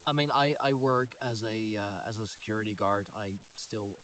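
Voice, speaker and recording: A man with a Dutch accent and a low voice hosts a podcast, speaking English as his second language. He hesitates and restarts often, and a faint hiss sits under the recording. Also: normal speed, a bit bright, rough recording. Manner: hesitant, many fillers and restarts. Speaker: podcast host. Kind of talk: casual podcast conversation.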